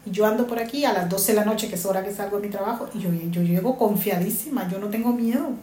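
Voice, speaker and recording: A woman's voice: mid-range voice, quick pace, dark tone. A woman from Honduras, earnestly telling her own story as an interviewee and repeating points for emphasis. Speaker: interviewee, migrant mother. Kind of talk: earnest personal testimony. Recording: echoey room with faint ambience.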